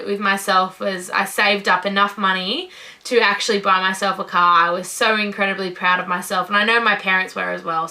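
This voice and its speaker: A woman's voice: medium pitch, bright, forceful tone. A nineteen-year-old Australian woman in her first vlog, talking casually to camera in an upbeat, gushing way. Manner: upbeat, gushing, says "incredible" and "you know" often. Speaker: vlogger.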